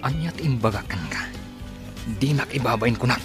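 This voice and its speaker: A man's voice: mid-range voice, a little fast, close to an everyday voice. A man with an Ilocano accent acting in a radio drama as an arrogant, short-tempered rich boss. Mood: irritated. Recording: dramatic music bed behind voice.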